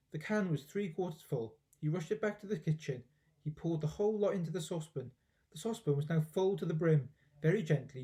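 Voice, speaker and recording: Man, lighter pitch, soft mellow tone, expressive storybook reading, dramatic emphasis. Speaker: teacher reading aloud. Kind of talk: expressive children's storybook narration.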